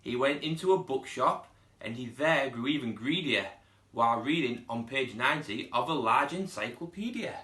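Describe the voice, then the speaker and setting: A man's voice: mid-range voice, moderate pace, reverberant. A man, a primary school teacher reading a children's storybook aloud expressively. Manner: expressive, putting on character voices.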